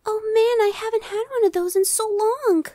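A woman voicing a timid, anxious young female gargoyle in an ASMR roleplay, soft, girlish, hesitant and stammering. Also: extremely high pitch, moderate pace, no room sound. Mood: shy, hesitant. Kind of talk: ASMR audio roleplay acting.

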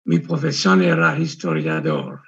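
A man's voice: low voice, wildly swooping pitch, gravelly, fairly bright tone, breathy edge. An older man, a retired historian whose first language is French, presenting his book in careful Spanish over a video call. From Puerto Rico, raised in Quebec, Canada. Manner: deliberate, careful non-native Spanish with restarts. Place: room indoors. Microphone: video call webcam. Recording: muffled video-call audio.

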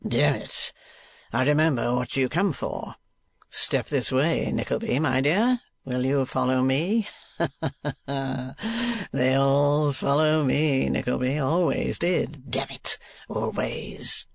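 A man voicing a mincing, affected dandy gentleman in a storybook reading, drawling and effusive as he swears and fawns. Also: mid-range voice, takes it slowly, creaky, no echo. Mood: preening, playful. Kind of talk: audiobook character voice.

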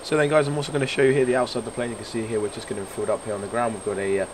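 A man with a hoarse, croaky voice giving casual, rambling commentary on a flight simulator game, full of fillers and restarts. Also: mid-range voice, fairly even intonation, rapid-fire, slight background noise. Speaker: flight sim streamer.

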